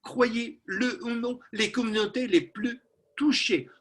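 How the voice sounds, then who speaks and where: A man's voice: very high voice, fairly even intonation, a bit bright. A man from Texas, a political analyst answering in a radio interview, emphatic and insistent as he drives home his points. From Texas, USA. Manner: emphatic, insistent, with slow stressed phrases.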